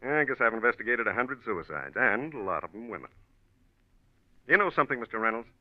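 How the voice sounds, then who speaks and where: An actor playing a dry, probing American homicide lieutenant in a 1940s radio drama, folksy and deliberately offhand as he questions. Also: mid-range voice, big pitch swings, no room sound, close to an everyday voice. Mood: probing, skeptical. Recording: muffled old radio recording.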